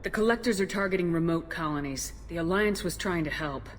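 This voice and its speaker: A woman's voice: on the low side, brisk, slight background noise, lightly acted. A woman playing a firm, direct, no-nonsense military starship commander in a video game. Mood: firm, businesslike. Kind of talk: video game dialogue acting.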